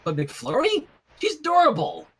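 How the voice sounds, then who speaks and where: A man's voice: very high voice, wildly swooping pitch, normal speed, a bit bright, slightly rough, pitched above the performer's own voice, full character voice. A man voicing a brash, cocky young woman with a casual, confident and slangy way of talking in a story reading. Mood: fond, casual.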